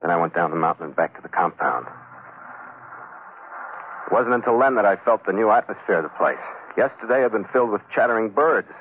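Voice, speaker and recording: An actor playing a weary, tough ship captain in 1940s radio adventure style, speaking in a terse, hard-boiled manner. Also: male voice, on the low side, expressive, brisk, pauses often, slightly rough. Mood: grim. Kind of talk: 1940s radio adventure acting, first-person narration. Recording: 1940s radio broadcast, narrow band, music behind.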